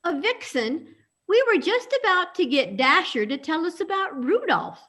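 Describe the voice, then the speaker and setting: A woman's voice: extremely high pitch, very animated, normal speed, sharp tone, exaggerated voice. A woman playing a friendly, curious, slightly hesitant character in a radio play. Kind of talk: reader's theatre radio play acting.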